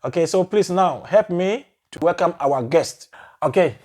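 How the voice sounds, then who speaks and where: Guy hosting a chat show, kinda high voice, casual short questions. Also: expressive, moderate pace, darkish tone. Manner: conversational, brief prompts and frequent backchannels. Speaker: show host.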